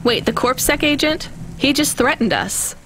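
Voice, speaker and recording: An actress playing a young delivery driver in a video game, dry and sarcastic but caring. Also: female voice, sits high, lively intonation, moderate pace, a bit bright, a little hiss or hum. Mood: worried, urgent.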